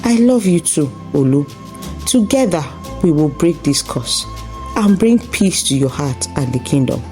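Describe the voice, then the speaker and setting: A woman voicing a kind, wise young village woman in a folktale, speaking gently and with compassion. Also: deep voice, animated, unhurried, faint music behind. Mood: tender.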